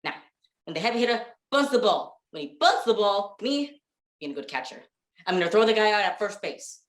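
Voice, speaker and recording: A woman's voice: mid-range voice, very animated, brisk, roomy sound, close to an everyday voice. A woman playing an exasperated, bewildered comic sidekick in a 1940s radio comedy routine, stammering and insistent in her questions. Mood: frustrated.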